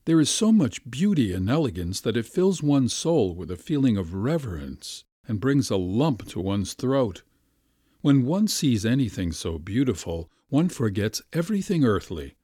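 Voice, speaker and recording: A man's voice: medium pitch, very animated, measured pace, dark tone, dry recording, studio quality. A man voicing an earnest, insistent young man who pleads effusively, in a raised voice. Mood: earnest pleading.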